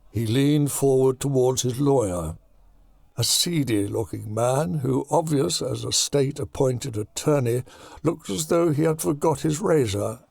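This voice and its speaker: Slow, gravelly male horror narrator. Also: mid-range voice, a little mellow, no echo. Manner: deliberate, storytelling. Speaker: audiobook narrator. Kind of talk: scripted dramatic horror narration.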